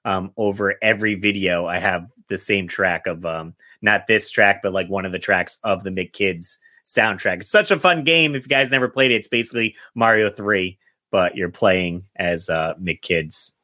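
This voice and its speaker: A man's voice: low-pitched, normal speed, bright, forceful tone. A man chatting casually as a podcast host in an easygoing manner.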